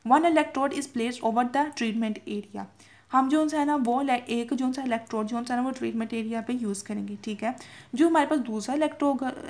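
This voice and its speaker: A young woman with a fairly high voice teaches in an informal, explanatory way. She goes over each point more than once and keeps checking in with short tags. English technical terms are mixed into her speech. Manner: repetitive, with frequent checking tags. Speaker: medical lecturer. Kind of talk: informal explanatory lecture from notes.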